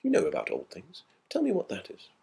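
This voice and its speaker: A man's voice: fairly high pitch, wildly swooping pitch, a little fast, gravelly, mellow, slightly rough, pitched above the performer's own voice, full character voice. A man voicing a matter-of-fact country house host in a storybook reading, grave and conversational. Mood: curious.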